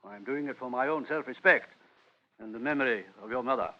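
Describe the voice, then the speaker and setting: On a dull old radio recording, a man plays an authoritarian British father. His voice is mid-pitched, formal and curt, heavy with disapproval of his son. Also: a little fast, lightly acted. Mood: exasperated. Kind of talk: vintage radio drama acting.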